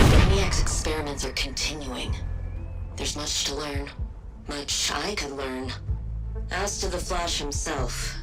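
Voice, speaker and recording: Female villain scientist, very deep voice, clinical and guarded, measured formal delivery, video game cutscene, loud music underneath. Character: coldly analytical female villain scientist, guarded but devoted.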